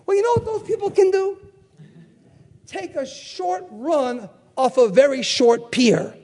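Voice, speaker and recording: An older male preacher with a very high voice delivers a sermon. He repeats key phrases for emphasis and throws out questions for the congregation to answer back. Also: fairly even intonation, unhurried, dark tone. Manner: call-and-response, emphatic repetition.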